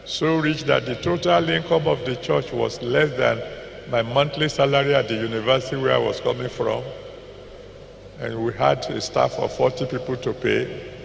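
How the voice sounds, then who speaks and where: An older man with a Nigerian accent preaching a sermon into a handheld mic in a large packed auditorium, slowly repeating scripture references and decreeing blessings. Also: mid-range voice, fairly even intonation, gravelly, slight background noise. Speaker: pastor. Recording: echoey hall with long reverb.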